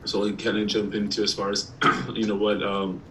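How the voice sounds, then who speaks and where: A man from Iraq, a periodontist giving a casual presentation over a video call, slightly hoarse and talking with many ums and restarts. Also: lowish voice, flat delivery, moderate pace, fairly bright tone. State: slightly hoarse, clearing throat. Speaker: periodontist guest speaker. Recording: distant mic with faint background noise.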